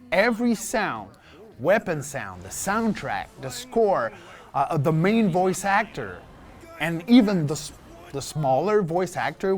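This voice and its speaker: Higher-voiced man, casual and emphatic. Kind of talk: casual behind-the-scenes explanation.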